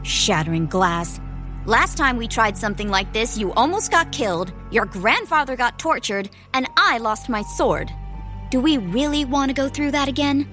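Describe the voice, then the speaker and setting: Casting: proud, defensive teenage girl knight, fairly high voice, brusque delivery, audio drama. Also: expressive, normal speed, fairly bright tone, faint music behind. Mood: exasperated, wary.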